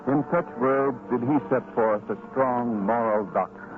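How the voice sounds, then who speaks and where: A man narrating an old radio drama as announcer, in a solemn, formal, measured manner. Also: mid-range voice. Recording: muffled old radio broadcast with music. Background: music.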